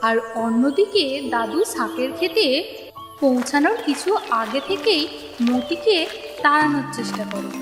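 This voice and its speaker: A woman's voice: high-pitched, big pitch swings, moderate pace. A woman narrating a scripted children's story. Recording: echoey reverb, music underneath.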